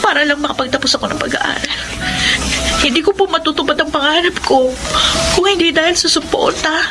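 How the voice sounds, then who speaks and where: A devoted daughter in an old-style radio drama, looking back on her life. Her voice sits slightly high and sounds earnest. Music plays beneath. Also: expressive, a little fast. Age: young adult. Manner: earnest and sincere. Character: dutiful young woman, former radio DJ. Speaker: narrator of her own story. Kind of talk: classic radio drama acting. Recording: music playing under voice.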